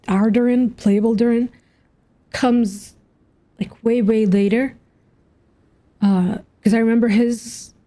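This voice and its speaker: A woman giving casual playthrough commentary on a game, musing aloud and asking questions as she goes. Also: medium pitch. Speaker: game streamer reacting to a story quest. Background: soft game music.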